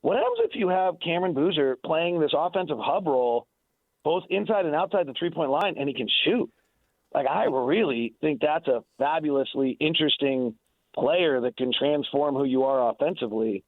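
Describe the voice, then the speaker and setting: Over a narrow, phone-like line with little room sound, a man talks sports as a radio analyst. His voice sits a bit high for a man. He argues emphatically and unscripted, doubling back and restarting phrases as he goes. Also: expressive, normal speed, no echo. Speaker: sports analyst and radio play-by-play announcer interviewed on a show.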